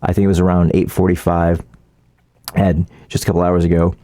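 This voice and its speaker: A man giving casual, opinionated political commentary as a vlogger, in an informal, halting, rambling way. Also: deep voice, level tone, warm tone, no echo, studio quality.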